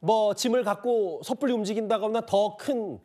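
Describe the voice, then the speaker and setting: A male news anchor with a distinctly high-pitched voice. He leads a studio discussion in a conversational way, asking probing questions and setting up reports. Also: normal speed, mellow.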